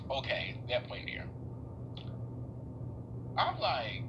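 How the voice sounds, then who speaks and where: A young man venting in a casual, profane monologue to a live stream, his voice swinging up and down as he talks. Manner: animated, swearing, voice swinging up and down in pitch. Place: indoors in a workplace room. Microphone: phone held low at arm's length. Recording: phone live stream with clear background noise.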